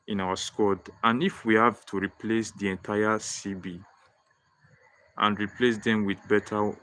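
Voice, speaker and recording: Young Nigerian-accented man, animated fan-stream host who loops phrases, mid-pitched voice. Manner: excitable, repeats phrases, frequent restarts. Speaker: football livestream host. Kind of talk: live-stream fan chat reading viewer comments.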